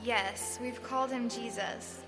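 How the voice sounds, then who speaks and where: A woman playing a quiet, humble young mother. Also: fairly high pitch, steady tone, unhurried, big-room sound, music in the background, lightly acted. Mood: hushed. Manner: soft, hushed, few words. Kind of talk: live radio drama acting.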